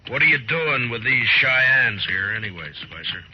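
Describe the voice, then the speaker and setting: An actor playing a stern, fearless frontier marshal in a 1950s radio western, speaking in a terse, dry, hard-boiled way. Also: male voice, mid-range voice, animated, normal speed, noisy background, natural voice. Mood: firm, defiant. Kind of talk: 1950s radio drama acting and first-person narration. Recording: muffled old radio recording with music.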